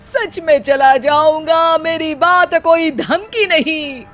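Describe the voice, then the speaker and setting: A woman's voice: high voice, takes it slowly, fairly bright tone, soft background music, voice pushed up. A woman voicing a lazy, cheeky young husband who declaims silly rhymes theatrically in a comic cartoon story. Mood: boastful, dramatic.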